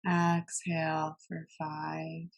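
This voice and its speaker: A woman leads a guided meditation with a slightly low, gravelly voice full of vocal fry. She gives calm, gentle instructions very slowly, leaving long pauses between cues.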